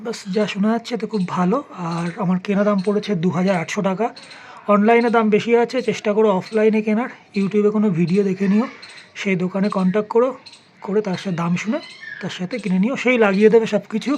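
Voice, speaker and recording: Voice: medium pitch, a little mellow. A vlogger giving a casual hands-on product review, explaining things informally and offering practical advice to the viewer. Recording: clearly noisy ambient background.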